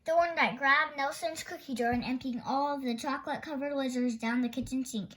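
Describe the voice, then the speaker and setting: A woman's voice: sits high, brisk, fairly bright tone, slight background noise. A woman storyteller reading a children's picture book aloud expressively.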